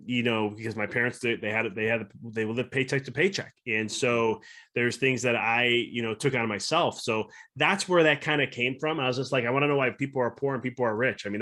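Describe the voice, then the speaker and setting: A man's voice: mid-range voice, steady tone, fairly quick, pushed and bright. A man guesting on a podcast as a mindset coach, reflective and earnest in casual conversation. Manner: reflective and earnest, talking through ideas.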